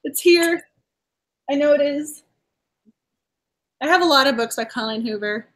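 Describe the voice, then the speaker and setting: A woman from the Boston area hosting a casual book chat over a video call, talking quickly through thoughts with frequent laughs and restarts. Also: high-pitched, animated, fairly bright tone. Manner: chatty, laughs often, restarts while avoiding spoilers. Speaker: host.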